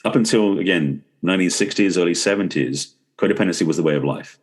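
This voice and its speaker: A man's voice: low-pitched, fast talker, slightly rough. A middle-aged or older man explaining conversationally as an interview guest, in short phrases with frequent restarts. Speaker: podcast guest, relationship expert.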